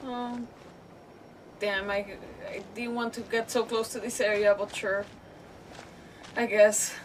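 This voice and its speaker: A woman's voice: medium pitch, flat delivery, slow pace, distant mic, slight background noise, poor audio. A woman streaming a game with casual commentary, thinking aloud hesitantly and repeating words. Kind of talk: casual live gameplay commentary. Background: game music.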